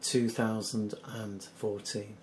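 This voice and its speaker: A hoarse British man hosting a solo commentary, reading out news articles in an earnest, skeptical, measured way. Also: lowish voice, little pitch movement, a little hiss or hum. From United Kingdom. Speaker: channel host.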